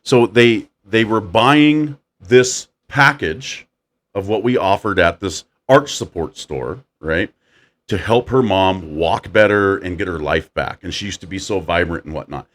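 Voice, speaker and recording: A man with a deep voice hosting a casual chat, animated as he tells stories and acts out quotes. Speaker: podcast host.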